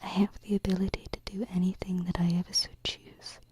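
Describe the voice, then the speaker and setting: A woman playing a gentle, affectionate alien princess in an ASMR roleplay, speaking softly and reassuringly into a close binaural microphone. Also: medium pitch, flat delivery, slight background noise. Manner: soft, slow, reassuring, pausing. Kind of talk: ASMR roleplay, intimate whispering.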